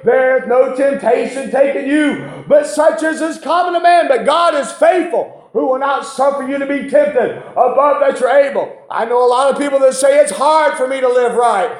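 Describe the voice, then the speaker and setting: A man's voice: very high voice, normal speed, few pauses. A man preaching a fervent evangelical sermon, emphatic and repetitive as he exhorts his listeners. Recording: echoey room.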